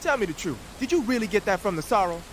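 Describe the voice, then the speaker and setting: Game support man: high male voice, lively swings, blunt, informal, easily exasperated. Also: fast, a little hiss or hum. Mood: bemused. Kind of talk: video game voice acting.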